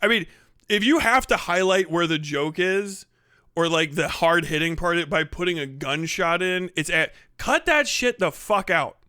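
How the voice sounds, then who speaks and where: A man's voice: sits high, animated, measured pace, bright, forceful tone, smooth, no echo, studio quality. A man casually ranting as a livestream host, blunt, scornful and swearing heavily. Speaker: livestream host reacting to a video. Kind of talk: casual livestream reaction rant, heavy swearing.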